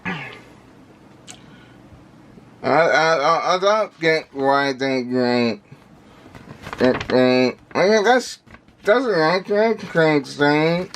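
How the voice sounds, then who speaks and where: A man giving casual unscripted product commentary in halting, repetitive fragments, with labored, indistinct articulation from a speech impediment. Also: fairly high pitch, lively intonation, a bit bright, slight background noise. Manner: halting, repetitive, fragmented phrasing with effortful delivery. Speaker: vlogger unboxing audio gear.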